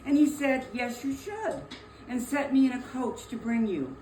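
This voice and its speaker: A woman's voice: high-pitched, animated, moderate pace, warm tone. A woman playing an affectionate, eager little girl in a dramatic stage reading, speaking earnestly. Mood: tender, joyful. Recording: echoey room, faint noise.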